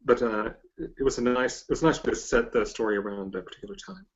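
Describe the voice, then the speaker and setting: A man hosting a casual interview, speaking in a hesitant, halting way and often repeating himself. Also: mid-range voice, lively intonation, muffled recording. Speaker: podcast interviewer, host.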